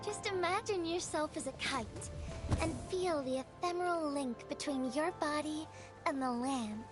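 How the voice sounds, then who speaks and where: High-voiced, gentle young courier woman, game heroine. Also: unhurried, music in the background. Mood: bright, guiding. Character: gentle, playful young female courier, wistful and earnest. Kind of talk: video game voice acting.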